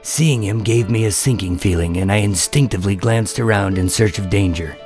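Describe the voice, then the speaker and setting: A man playing an undercover agent in a radio drama, telling his story with deadpan, wry tension. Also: fairly low pitch, normal speed, faint music behind, natural voice. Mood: tense, wry. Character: wry, nervous undercover agent posing as mob enforcer. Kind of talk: first-person noir radio drama narration and dialogue.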